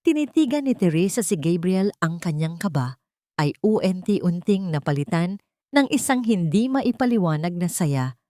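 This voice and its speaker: Lady with a low voice reading a dramatic audio story, solemn n measured, really dry recording. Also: sing-song, mellow, dry recording. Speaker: storyteller, narrator.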